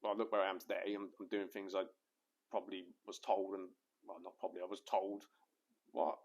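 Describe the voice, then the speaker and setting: Man, podcast co-host, low voice, loose rambling delivery, repeats himself, jokes around. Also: flat delivery, brisk, a little mellow. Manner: rambling, repeats words, jokey. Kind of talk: casual podcast conversation.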